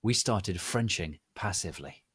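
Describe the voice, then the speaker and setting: A man narrating a comic story in a theatrical, deliberate, dramatic reading style. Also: sits low, animated, a bit bright, slightly rough, no echo.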